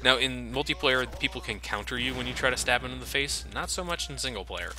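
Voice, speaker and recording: A man's voice: mid-range voice, fast. A man giving casual, sardonic, sweary commentary as he plays a video game. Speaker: video game let's player and host.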